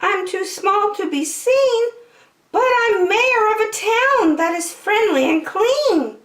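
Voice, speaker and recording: A minuscule, courteous mayor pipes up in a very high, thin voice. The little figure sounds earnest and grateful, in a roomy storybook recording. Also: female voice, far from the mic, full character voice. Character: tiny polite grateful mayor of a speck-sized town.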